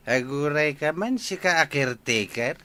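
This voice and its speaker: Give me a man acting a bright, forceful, bullying older man. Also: on the high side, no echo, full character voice. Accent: Filipino, Ilocano. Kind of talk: Ilocano comedy radio drama.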